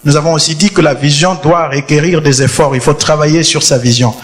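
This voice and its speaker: A man preaches with a fairly high voice, hammering home his points and repeating phrases for weight. His voice rings out in a church hall with a long, trailing reverb. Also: fairly even intonation, brisk. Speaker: pastor. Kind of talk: sermon.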